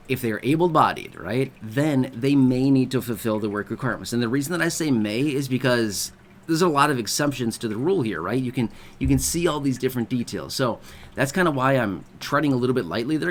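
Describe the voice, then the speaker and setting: A man hosting an online video, talking casually as he explains and often checks in with his listeners. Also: mid-range voice. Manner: conversational, keeps checking in with the listener. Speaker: video host explaining benefits.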